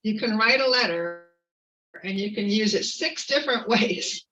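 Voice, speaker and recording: A woman giving an earnest, informal activist talk at a community meeting, halting with fillers and restarts. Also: medium pitch, bright, forceful tone, recorded from a distance, highs cut off. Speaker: activist speaker.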